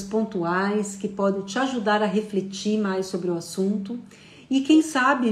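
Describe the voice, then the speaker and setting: Teacher lady explaining psych stuff on a live, lots of "né", echoey room. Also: a little high. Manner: explanatory, conversational, many fillers like "né". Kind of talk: live online lecture. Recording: roomy echo.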